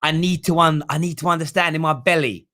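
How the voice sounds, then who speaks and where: A man's voice: fairly high pitch, fairly even intonation, a little fast, sharp tone. A man hosting a football fan livestream, chatting bluntly and emphatically about viewer comments and often repeating himself. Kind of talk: casual live fan talk, reading out viewer comments.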